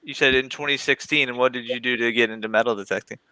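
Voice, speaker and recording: Bright, upbeat Midwestern host. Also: male voice, mid-range voice, fairly even intonation, quick pace, clean tone, dry recording, poor audio. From Oklahoma. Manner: upbeat, laughs often. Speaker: podcast host. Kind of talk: casual interview chat.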